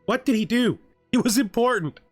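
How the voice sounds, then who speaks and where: A man's voice: very high voice, expressive, normal speed, dry recording, faint music behind. A man hosting a roleplay let's play, mixing casual commentary with theatrical story reading in a wryly amused manner. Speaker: host of a gaming let's play.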